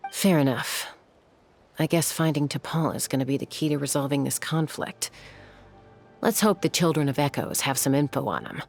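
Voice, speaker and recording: Cool young warrior woman, low voice, blunt, anime game with music behind. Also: expressive, moderate pace, vocal fry, heavily acted. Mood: determined. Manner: blunt, determined. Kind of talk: anime game dub acting. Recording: music under the voice.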